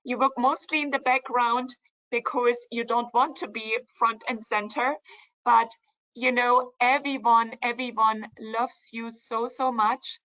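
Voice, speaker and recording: A woman co-founder hosting a virtual company event, warmly praising a colleague and handing the floor over to him. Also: on the high side, steady tone, a little slow, rough recording. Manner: warm, praising, guides the conversation. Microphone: video call.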